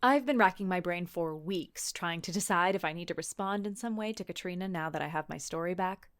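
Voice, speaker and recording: A woman's voice: on the low side, brisk, lightly acted. Actress as young woman, wry and sarcastic, anxious, confessional podcast monologue, conversational delivery. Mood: wry, resigned. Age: young adult. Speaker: podcast narrator.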